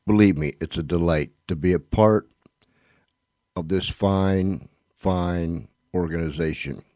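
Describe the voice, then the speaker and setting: A man hosting a radio show, giving sign-off announcements in a deliberate way, breaking his phrases with pauses. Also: low voice, warm tone, dry recording.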